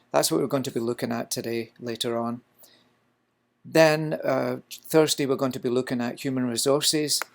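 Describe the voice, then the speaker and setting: Scottish male cooking instructor, gravelly voice, explanatory lecture delivery, plenty of fillers. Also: mid-range voice. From Scotland. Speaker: culinary instructor. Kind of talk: recorded lecture.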